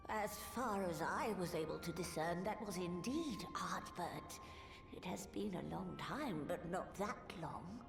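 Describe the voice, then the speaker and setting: A woman's voice: fairly low pitch, expressive, unhurried, creaky. An actress playing a poised, shrewd woman in a fantasy game, speaking with understated, faintly wry deliberation. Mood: questioning, wry. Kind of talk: scripted fantasy game voice acting. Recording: echoey hall reverb, game music behind.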